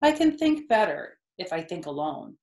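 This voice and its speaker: A woman voicing a calm, motherly female spider in a children's storybook, reassuring, collected and gently instructive. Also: lowish voice, normal speed. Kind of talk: read-aloud children's storybook. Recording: roomy, echoey indoor sound.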